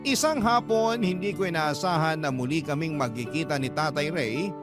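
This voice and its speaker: High-voiced male radio storyteller over music. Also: moderate pace. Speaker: radio drama narrator. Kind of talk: first-person dramatic storytelling narration. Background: prominent guitar music.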